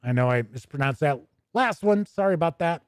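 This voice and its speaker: A man's voice: fairly high pitch, expressive, brisk, clear, resonant tone, dry recording, studio quality. A middle-aged American man hosting a tabletop gaming livestream, chatty and conversational with playful asides. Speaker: host of a tabletop gaming show. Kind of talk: casual livestream commentary.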